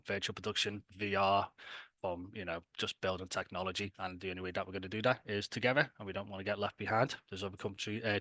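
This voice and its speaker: Male Scouse event host, low-pitched yet bright and forceful, informal and chatty. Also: no room sound. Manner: chatty, enthusiastic, colloquial. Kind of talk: casual, informal event presentation.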